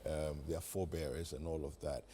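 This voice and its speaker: A man's voice: very deep voice, fairly even intonation, brisk, mellow, a little hiss or hum. A man with a Ghanaian accent hosting a morning TV show in a conversational style. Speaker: TV show host. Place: TV studio set.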